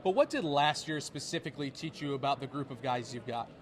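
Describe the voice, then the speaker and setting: A man's voice: medium pitch, expressive, brisk. A young man asking prepared questions as a sports interviewer. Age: young adult.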